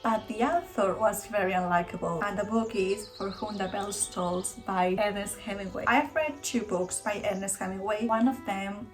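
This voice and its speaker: A woman's voice: mid-range voice, steady tone, normal speed, hardly any pauses, soft background music. A woman from Spain with a Spanish accent, chatting enthusiastically about books she plans to read and often rephrasing herself mid-sentence. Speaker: book vlogger. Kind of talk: casual book haul talk to camera.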